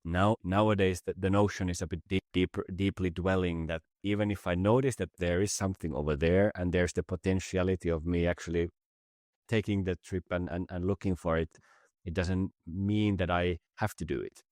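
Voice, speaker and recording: A man from Finland, a native Finnish speaker, reflecting slowly as a podcast guest. His voice is deep and flat in tone, and he stumbles over and repeats words as he searches for ideas. The sound is dry, with no room echo. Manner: halting, many word repeats, thoughtful. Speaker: podcast guest, business facilitator. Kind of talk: reflective conversation.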